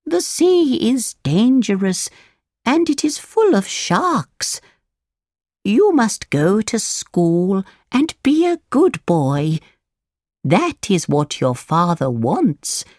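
A woman puts on an elderly good fairy for a children's storybook. She speaks very slowly in drawn-out phrases, kindly and solemn, gently admonishing with a rising and falling lilt. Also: mid-range voice, sing-song, no echo, studio quality, pitched above the performer's own voice. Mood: warning.

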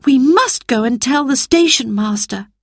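A woman's voice: sits high, expressive, a little slow, dry recording, clean studio sound, pitched above the performer's own voice, exaggerated voice. A woman reading aloud and voicing a sensible eldest girl in a storybook, with an earnest manner.

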